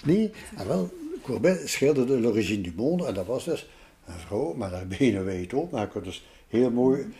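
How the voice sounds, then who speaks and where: A man's voice: mid-range voice, very animated, normal speed, dark tone. An older man with a Flemish accent chatting casually as an interviewee, explaining and telling stories with repeated little tags. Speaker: interviewee, art collector. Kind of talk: casual conversational storytelling. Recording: roomy echo with music behind. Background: music.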